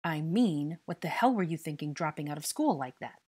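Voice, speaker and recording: A woman's voice: fairly low pitch, expressive, normal speed, a little mellow, breathy edge. A woman voicing a stern, furious young male investigator who scolds and interrogates with exasperated force in an audiobook story. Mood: heated, berating.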